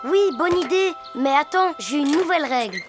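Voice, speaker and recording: A woman's voice: sits high, animated, normal speed. A woman voicing a sensible, cautious young boy hippo in a children's cartoon, with an earnest, careful manner. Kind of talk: French children's cartoon acting. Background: music.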